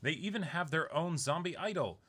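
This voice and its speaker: Young man with a bright voice. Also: fairly high pitch, expressive, fairly quick. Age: young adult. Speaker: YouTube unboxing host. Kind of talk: reading a viewer letter aloud, casual. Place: small indoor room, seated.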